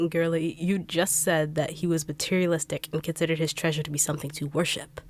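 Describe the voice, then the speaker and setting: A young woman voicing a blunt, sharp-tongued treasure-hunting bodyguard in an audio roleplay drama, brusque and mocking. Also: deep voice, level tone, a little fast, slight background noise, lightly acted. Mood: condescending.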